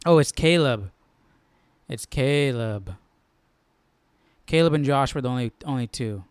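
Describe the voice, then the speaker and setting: Smooth, casual man thinking aloud. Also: mid-range voice, expressive, measured pace, no echo. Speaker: host of a live Bible study stream. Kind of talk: casual study-along commentary.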